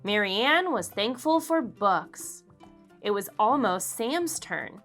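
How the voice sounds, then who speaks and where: Bright, animated woman reading a children's story. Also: mid-range voice, soft background music. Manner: expressive, deliberate, acts out dialogue. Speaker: children's book narrator. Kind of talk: read-aloud storytelling for kids.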